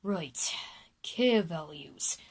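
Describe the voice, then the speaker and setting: A woman's voice: on the low side, animated, very slow, husky, voice pushed down, exaggerated voice. A woman voicing a weary, casual uncle working as a substitute teacher in an audio drama. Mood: laid-back. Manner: casual, laid-back. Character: laid-back, weary uncle standing in as teacher. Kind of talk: scripted audio drama dialogue.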